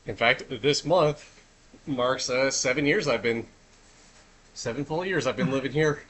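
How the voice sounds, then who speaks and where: A man's voice: mid-range voice, a little slow, fairly bright tone, a little hiss or hum, poor audio. A man streaming himself cooking at home, chatting casually and easily as he goes. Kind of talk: casual chat while cooking. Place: home kitchen.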